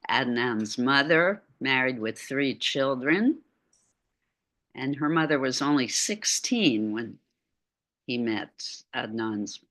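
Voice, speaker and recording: A woman's voice: extremely low pitch, bright, forceful tone. A middle-aged woman giving an art lecture over a video call from a room at home, with chatty asides.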